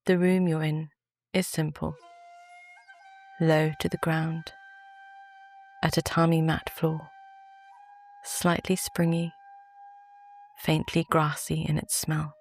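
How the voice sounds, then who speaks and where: A woman with a British accent gently narrates a guided sleep meditation in a soft, calm, soothing voice. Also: sits low, slow, creaky, pauses often, faint music behind.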